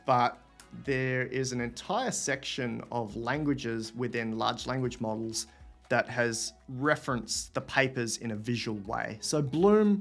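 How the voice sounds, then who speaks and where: A man's voice: medium pitch, a bit bright. Man hosting a casual livestream Q&A. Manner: casual, thinks aloud, reads out viewer questions. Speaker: host answering viewer questions. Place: room at home, indoors.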